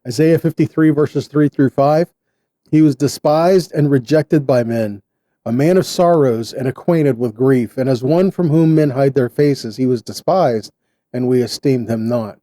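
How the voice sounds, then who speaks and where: A man giving sermon-style Bible teaching in short, deliberate phrases with an earnest, exhorting manner. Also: medium pitch, warm tone, no room sound.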